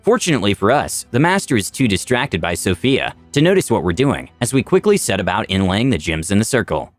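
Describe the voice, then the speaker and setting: A man's voice: lowish voice, big pitch swings, fairly quick, dry recording, faint music behind. A man narrating a scripted fantasy adventure story in a dramatic, first-person storytelling style. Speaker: channel narrator.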